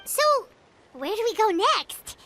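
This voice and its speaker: Squeaky tiny fairy girl, excitable. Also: takes it slowly, slight background noise, exaggerated voice. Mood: curious, chirpy. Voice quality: very high, squeaky, childlike. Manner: speaks of self in third person. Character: Paimon, tiny childlike floating fairy companion girl, excitable. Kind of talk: video game voice acting. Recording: game music behind the voice.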